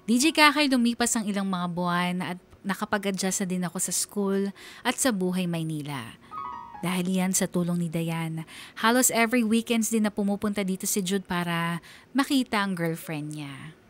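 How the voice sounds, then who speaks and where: A woman's voice: fairly low pitch, normal speed, lightly acted. A woman playing an introspective, self-doubting young woman who narrates her own story in a radio drama, confiding and reflective. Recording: music bed behind narration.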